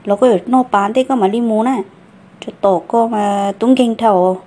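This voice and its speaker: Woman voicing fairly high female cartoon character. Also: moderate pace, warm tone, lightly acted. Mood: conversational. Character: female character in a family folk-tale cartoon. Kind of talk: cartoon folk-tale dialogue.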